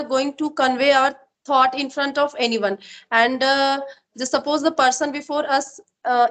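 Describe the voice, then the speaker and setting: A woman's voice: high-pitched, fairly bright tone. A woman hosting a spoken English practice conversation, agreeing often and talking with a few fillers. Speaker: host of English practice show.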